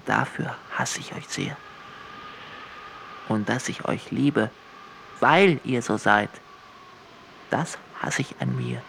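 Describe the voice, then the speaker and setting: Casting: brooding deity for absurdist musical radio play, gravelly, extremely slow, torn between love and hate. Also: male voice, mid-range voice, fairly bright tone, slight background noise. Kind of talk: theatrical musical monologue in an absurdist audio drama.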